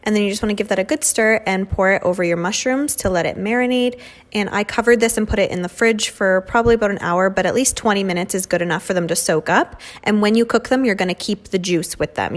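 Young woman, mid-pitched, relaxed chatty vlogger narrating her home cooking. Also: fast talker. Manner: chatty, many "um" and "like" fillers. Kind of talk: casual cooking voice-over. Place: home kitchen.

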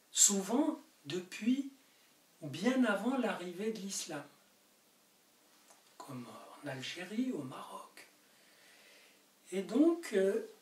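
An older man from Morocco, a native Arabic speaker, explaining his book to camera in a study, talking animatedly with emphatic peaks. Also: high-pitched. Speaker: author. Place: book-lined study indoors. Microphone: camera mic from a distance. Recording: roomy distant camera audio with hiss.

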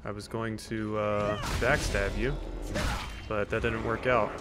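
A man's voice: fairly low pitch, little pitch movement, a little slow. A man doing casual, wry gameplay commentary as a let's play host, teasing the characters and reading game text aloud. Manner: reads on-screen text aloud. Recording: faint background game noise. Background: video game sounds.

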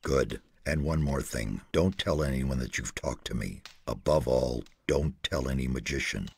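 An actor playing a solemn exiled sorcerer in a fantasy game, speaking slowly with grave, portentous weight. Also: male voice, extremely low pitch, darkish tone, husky, full character voice. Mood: quiet, cautioning. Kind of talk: dramatic fantasy game narration. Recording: background music behind the voice.

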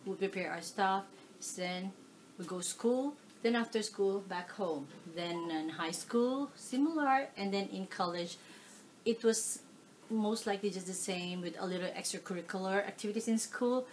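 A middle-aged woman from the Philippines with a Filipino accent, answering oral history questions in a living room, animated, with many fillers. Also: mid-range voice, normal speed, slight background noise, rough recording. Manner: animated, gestures while talking, frequent fillers. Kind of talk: casual oral history interview. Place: living room, seated on a leather sofa.

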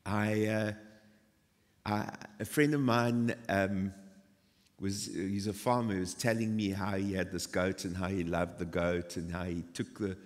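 Man preaching in a reverberant church hall. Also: low-pitched. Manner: animated storytelling with self-deprecating asides. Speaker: pastor. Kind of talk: sermon. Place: church stage with stage lighting.

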